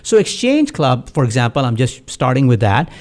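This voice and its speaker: A man from Pakistan, a guest community activist, speaking in a measured, reflective way with frequent fillers in a studio talk show. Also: medium pitch, very animated, a little mellow. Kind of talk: earnest talk-show conversation.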